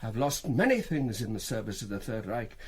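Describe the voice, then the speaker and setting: An actor in a radio drama playing a brisk, wryly courteous but irritable German colonel in military intelligence, with clipped authority. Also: male voice, on the low side, sing-song, husky. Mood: contrite.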